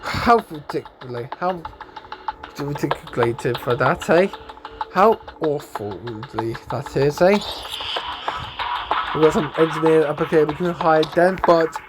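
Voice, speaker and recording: A man giving casual gameplay commentary as a YouTuber, rambling and stumbling over his words, his voice hoarse and going. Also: a little high, expressive, a little slow, pauses often, noisy background. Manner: rambling, stumbling, tags lines with "eh?" and "then, guys". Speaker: gaming YouTuber. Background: game music.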